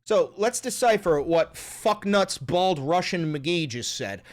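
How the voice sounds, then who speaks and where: An excitable political commentary host on a sarcastic, profane rant. The voice swings up and down with a lively, animated pitch, jumping high when mocking and dropping low for asides. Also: slow pace. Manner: excitable, sarcastic, swearing, repeats punchlines.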